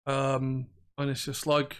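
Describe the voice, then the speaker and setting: A man's voice: medium pitch, monotone, slow, clean tone. A young man with a Welsh accent co-hosting a film podcast, chatting casually, rambling and laughing often. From Wales. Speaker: podcast host. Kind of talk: casual chat.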